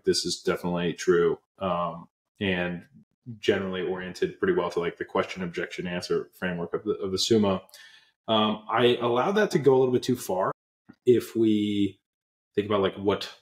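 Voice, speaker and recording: A man's voice: sits low, echoey room. A young man from Pennsylvania, a guest on a video call with earbuds, telling his story earnestly with many fillers and restarts. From Pennsylvania, USA. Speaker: guest, app co-founder. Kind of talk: casual interview storytelling. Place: small room indoors. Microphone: earbud headset on video call.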